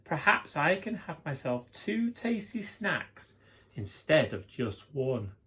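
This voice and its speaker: Storybook wolf, played by a man, sly, hungry, scheming, savouring each word, animated pitch. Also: slow pace, exaggerated voice.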